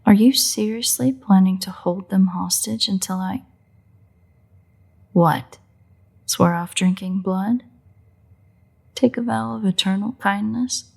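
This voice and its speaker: Woman acting husky, creaky, teasing vampire. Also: medium pitch, slow, long pauses, darkish tone, heavily acted. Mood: exasperated. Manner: playful, sarcastic, drawling. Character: teasing, unrepentant female vampire, sardonic and predatory. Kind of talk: intimate roleplay audio drama.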